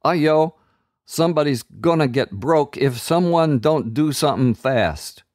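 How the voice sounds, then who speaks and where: A man's voice: on the high side, expressive, measured pace, a little mellow, dry recording, studio quality, voice pushed up. A man doing an urgent slangy orphan kid. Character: tough young boiler-room orphan, urgent.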